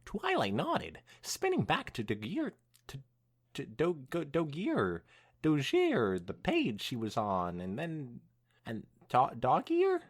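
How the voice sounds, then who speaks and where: A young man hosting a podcast, reading fan fiction aloud in an animated way mixed with casual chat. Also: fairly high pitch, slow, breathy edge.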